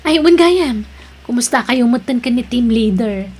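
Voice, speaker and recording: A woman's voice: fairly high pitch, lively intonation, normal speed, darkish tone, breathy edge. A woman playing a caring, devout, chatty friend in an Ilocano drama, with an Ilocano accent. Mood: caring, inquisitive. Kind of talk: Ilocano radio-style drama acting.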